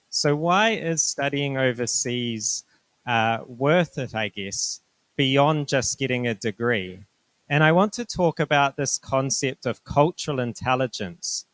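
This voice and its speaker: A middle-aged man from New Zealand with a New Zealand accent, a university director giving an informational presentation to students in a polite, measured, explanatory way. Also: medium pitch, no echo.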